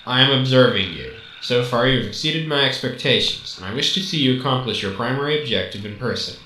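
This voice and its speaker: An actor playing a haughty, menacing robot master in an amateur radio drama, speaking formally and coolly. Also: male voice, medium pitch, lively intonation, quick pace, natural voice. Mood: commanding. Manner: formal, stilted, condescending. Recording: roomy echo, clearly noisy home recording.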